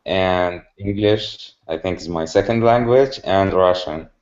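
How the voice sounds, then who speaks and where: A man's voice: low-pitched, a little slow, darkish tone. A man from Iraq whose first language is Arabic, speaking English as a student on a video call, hesitant and searching for words. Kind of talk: video call class chat. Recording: dull lo-fi video call audio.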